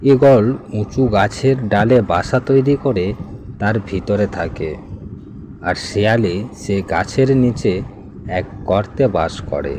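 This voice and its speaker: A man's voice: fairly low pitch, slow. A man narrating a scripted fable as a storyteller, with background noise behind him. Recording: clear background noise, outdoor ambience.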